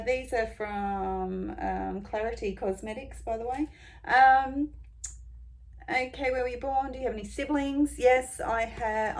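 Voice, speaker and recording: An Australian woman in her forties answering tag questions in a casual, rambling way, with many ums and pauses. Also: fairly high pitch. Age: 40s. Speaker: beauty vlogger.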